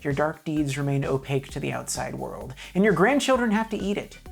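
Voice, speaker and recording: A woman's voice: low-pitched, lively intonation, fairly quick, creaky, soft background music. A woman narrating a scripted comedic video review in a wry, mock-serious, emphatic way.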